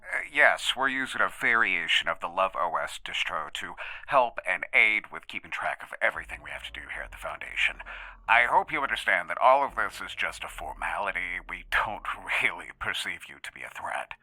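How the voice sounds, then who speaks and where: A man playing a formal head researcher conducting an interview in an audio drama, polite, guarded and halting. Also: low voice, lively intonation, pushed and bright, no echo, exaggerated voice, rough recording.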